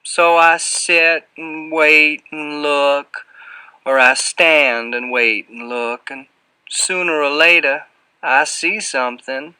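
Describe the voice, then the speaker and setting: A man voicing a huge, sly, taunting fat man with a slow, insinuating Southern drawl in an audiobook. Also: a little high, pushed and bright, smooth, no room sound, pitched above the performer's own voice, heavily acted. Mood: lingering, sly. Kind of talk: audiobook character voice.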